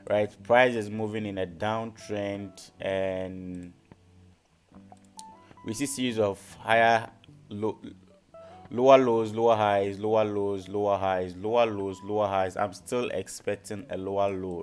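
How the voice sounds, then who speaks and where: A man hosting a live trading lesson, repeating his phrases and often checking in with his listeners. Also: lowish voice. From Ghana. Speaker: forex trading educator and live stream host. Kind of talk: live stream teaching, market analysis.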